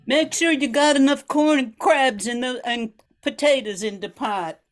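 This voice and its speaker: A woman's voice: high voice, normal speed, lightly acted. An older woman playing a scrappy Cajun grandmother in her fifties in an amateur radio play. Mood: bossy, folksy.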